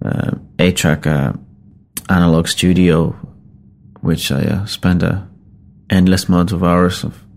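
A middle-aged Finnish-born man with a Finnish accent, a rock musician talking casually in English, his second language, in a halting, rambling, self-deprecating way. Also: sits low, flat delivery, creaky. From Finland. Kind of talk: casual spoken account.

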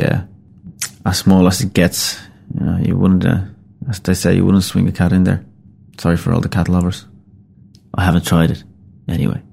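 A middle-aged Finnish-born man with a Finnish accent, a rock musician talking casually in English, his second language, in a halting, rambling, self-deprecating way. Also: low-pitched, gravelly, a little mellow, husky. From Finland. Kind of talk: casual spoken account.

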